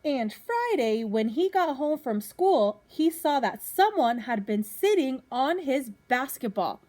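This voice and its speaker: A woman reading a children's picture book aloud as a teacher does for pupils, pausing to put questions to young listeners. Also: sits high, big pitch swings, darkish tone.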